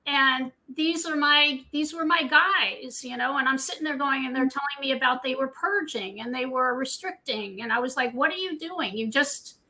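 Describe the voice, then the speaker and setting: An older woman with a high, bright voice tells her story openly and chattily. She is a guest on a casual online talk, from Scotland, and she speaks in an easy, unscripted way. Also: normal speed, few pauses, poor audio. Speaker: guest dietitian. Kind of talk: casual interview chat.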